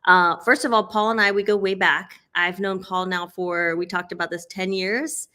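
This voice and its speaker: Bright-voiced woman hosting a chat. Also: fairly low pitch, steady tone, moderate pace. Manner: upbeat, laughs often, fillers. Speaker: host. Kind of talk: casual interview chat.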